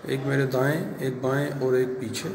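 Male on-location guide, medium pitch, formal and reverent, deliberate short phrases, reverberant noisy cave sound. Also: level tone. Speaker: vlogger guiding a visit to a religious site. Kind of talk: on-location narration to camera. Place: at and inside a cave shrine. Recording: echoey cave with clear ambient noise.

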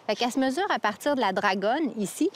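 A woman in her thirties with a Québécois French accent, explaining ski technique clearly and practically outdoors in a snowy park. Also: fairly high pitch, animated, fast talker. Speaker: cross-country ski coach. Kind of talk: instructional explanation.

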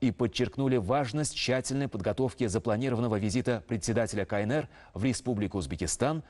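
A male television news anchor in his thirties reads from a script in a studio. His voice sits at an average pitch. The delivery is official and measured, in a formal bulletin style. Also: darkish tone.

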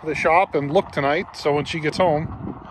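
A man's voice: a little high, steady tone, normal speed. A middle-aged man chatting casually and plainly to camera, in an offhand way. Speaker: vlogger. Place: parked or moving pickup cab, later outdoors on gravel drive. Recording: clear background noise, vehicle and outdoors.

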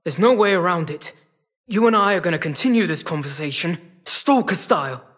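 A sly feline rogue from a video game. The voice sits fairly high for a male and has a husky rasp. He talks in a wry, casual, streetwise drawl, like a weary hustler who is always bargaining. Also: lively intonation, fairly quick, a bit bright, full character voice. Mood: menacing. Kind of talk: video game character acting.

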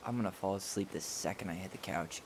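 A man's voice: low-pitched, fast, background noise. An actor playing an earnest, driven young American man in a video game, insistent but hesitant under pressure. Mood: weary. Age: young adult. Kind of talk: video game cutscene acting. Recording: game dialogue under loud music.

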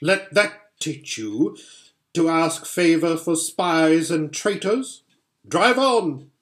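A man's voice: on the high side, animated, slow, a bit bright. A man voicing a furious, cruel witch queen in a storybook, with a haughty, commanding delivery. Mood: cold command.

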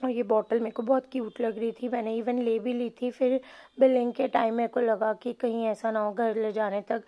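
A woman's voice: on the high side, little pitch movement, normal speed, lo-fi sound. A woman narrates a shopping vlog. She talks in a casual, chatty way and drops English words in freely. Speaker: shopping vlogger.

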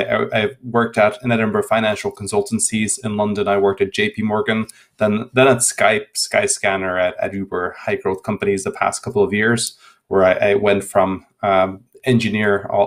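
A man in his thirties from Hungary with a Hungarian accent, answering interview questions over a video call, often repeating words as he restarts sentences. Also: on the low side. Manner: frequent word repetitions and restarts. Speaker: guest speaker. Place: home room. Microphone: laptop webcam video call.

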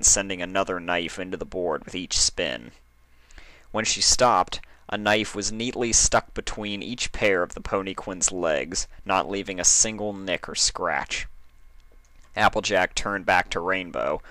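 A man's voice: low-pitched, unhurried, pushed and bright, no room sound. A man reading a story aloud as its narrator, in scripted storytelling style. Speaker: fan fiction reader and narrator.

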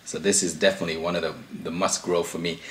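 A man's voice: low voice, little pitch movement, fast talker, fairly bright tone. A man in his thirties hosting a casual pepper-review vlog, talking easily with lots of ums, uhs and restarts, filmed at a table indoors. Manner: easygoing, rambling with many fillers and restarts. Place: small indoor room at a table.

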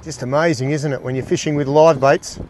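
A middle-aged man hosting a fishing show, giving excited, exclaiming commentary from a small boat. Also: a little high, brisk, a little hiss or hum. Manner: enthusiastic, exclaiming, talks to the fish and his mate.

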